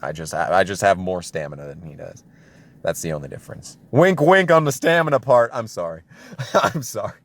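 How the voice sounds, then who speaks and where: A man's voice: sits low, expressive, a little fast. A young man telling a casual personal story as an exasperated, self-deprecating rant with plenty of swearing. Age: young adult. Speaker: storytelling video creator.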